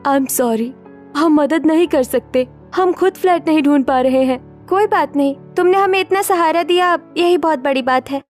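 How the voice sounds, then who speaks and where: A spirited young modern woman with schemes in mind, from a story drama, acted by a woman. Her voice is high and her delivery expressive. Faint music plays behind her. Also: moderate pace, natural voice. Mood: worried. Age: young adult. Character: spirited, scheming young modern single women seeking housing. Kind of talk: acted Hindi story dialogue.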